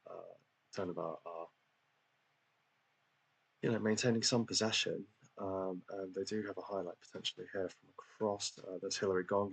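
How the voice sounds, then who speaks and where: A man's voice: deep voice, gravelly, a bit bright, slightly rough, poor audio. A man commentating casually on a football management game he is playing, talking in a chatty, hesitant way with lots of ums and self-deprecating asides. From England. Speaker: gaming channel host.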